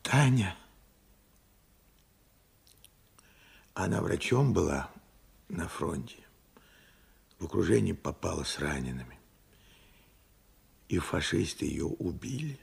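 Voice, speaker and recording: Husky, gravelly old bereaved father. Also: sits low, sing-song, full character voice. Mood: sorrowful, subdued. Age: older. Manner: halting, reflective storytelling in short phrases. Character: weary old widowed steelworker father recalling war losses. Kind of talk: Soviet radio drama acting.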